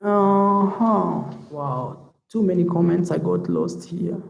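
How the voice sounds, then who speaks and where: A man's voice: sits high, takes it slowly, vocal fry, roomy sound. A man from Africa chatting casually on a livestream, reading out viewer comments and calling viewers "man" and "bro". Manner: relaxed and talkative, calls viewers "man" and "bro". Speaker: YouTube vlogger.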